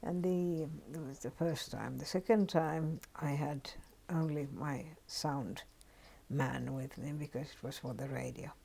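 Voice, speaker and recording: An older woman from Finland with a Finnish accent, telling her story in an oral history interview, unhurried and reflective with frequent pauses. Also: deep voice, fairly even intonation, creaky, mellow, breathy edge.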